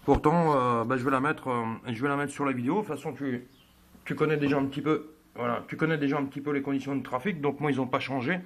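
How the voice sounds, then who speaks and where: Male amateur radio operator, medium pitch, casual two-way chat, halting delivery with repeated phrases. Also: steady tone.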